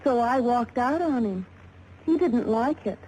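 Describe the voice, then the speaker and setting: Young American model, shaken yet tough, soft dark tone, medium pitch, faintly hissy vintage radio recording. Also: female voice, expressive, measured pace, rough recording. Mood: scornful. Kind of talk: 1950s radio drama acting.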